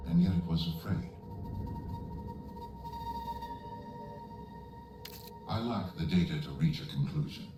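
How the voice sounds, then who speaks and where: Man voicing a low, clinical game AI researcher. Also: echoey room, far from the mic, lightly acted. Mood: puzzled, grave. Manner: measured, clinical, formal. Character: analytical AI researcher, male-voiced, detached and quietly puzzled. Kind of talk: scripted video game cutscene dialogue. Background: music.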